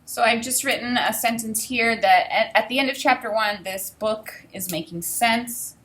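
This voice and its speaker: Bright-voiced woman teacher reading aloud. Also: on the high side, moderate pace. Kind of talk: read-aloud lesson with think-aloud commentary. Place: small indoor room with desk and bookshelf.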